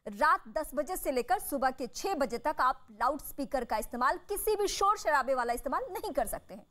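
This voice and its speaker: A woman's voice: high voice, animated, moderate pace, sharp tone. A woman hosting a live television news debate, speaking in an emphatic, formal news-anchor manner.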